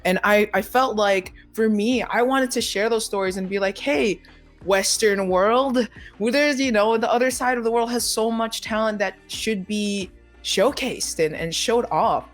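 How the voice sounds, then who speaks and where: A young multimedia journalist answers panel questions in a quick, animated way, leaning on fillers like "like" and "you know". The voice sits at a middling pitch and comes through a video call. Faint music plays underneath.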